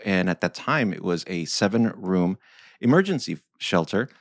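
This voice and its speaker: A man's voice: low-pitched, no room sound, studio quality. A man in his forties hosting a podcast interview, enthusiastic and effusive, with fillers and restarts. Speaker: podcast host.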